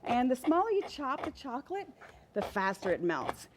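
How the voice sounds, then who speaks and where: A woman's voice: high voice, very animated, moderate pace, noisy background. A woman from Louisiana, a guest chef on a TV kitchen set, cheerfully explaining each step as she cooks. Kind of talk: cooking demonstration.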